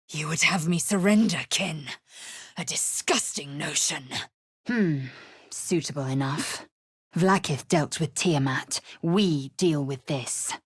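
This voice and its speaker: A woman voicing a fierce, proud githyanki warrior in a video game, imperious and clipped in manner, with a husky, breathy voice. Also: sits low, animated, takes it slowly, dry recording, clean studio sound, heavily acted. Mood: commanding.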